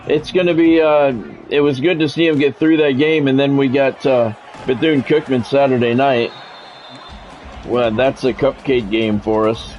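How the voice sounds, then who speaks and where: A man's voice: mid-range voice, steady tone, normal speed, a little mellow, muffled recording, soft background music. A man doing casual sports game commentary, drifting between announcer-style play calls and conversational musing.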